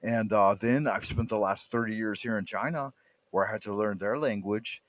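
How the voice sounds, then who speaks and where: A man's voice: lowish voice, normal speed, a bit bright, no echo. A middle-aged man hosting a casual talk, rambling and prone to interjecting. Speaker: show host. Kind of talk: casual chat.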